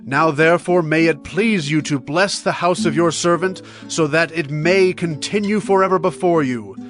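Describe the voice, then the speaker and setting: A man's voice: on the high side, normal speed, close to an everyday voice. A man playing a humble, devout king in a dramatized audio Bible, speaking in an earnest, reverent declamation. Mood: reverent, grateful. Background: soft plucked guitar music.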